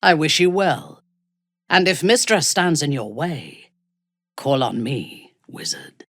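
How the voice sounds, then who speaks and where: A woman playing an imperious, ruthless dark elf warrior in a video game, haughty and commanding, with a husky, breathy delivery. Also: low voice, big pitch swings, dry recording, studio quality, full character voice. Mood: cool, measured. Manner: haughty, commanding, measured, deliberate.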